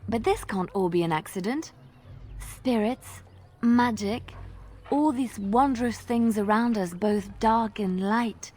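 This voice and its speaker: An actress playing a devout, idealistic young companion in a fantasy game, speaking in a gentle, earnest way. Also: female voice, on the high side, slow, noisy background. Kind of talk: dramatic game dialogue.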